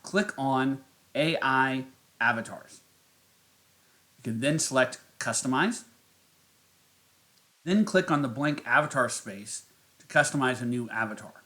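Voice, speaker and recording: A man narrating a step-by-step software tutorial as its host. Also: medium pitch.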